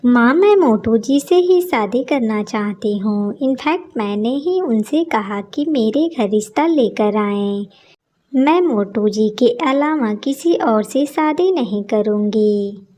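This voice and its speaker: A woman plays a lovestruck young village woman from a cartoon story. She is polite, earnest and determined, with a fairly high, mellow voice and slow delivery. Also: expressive.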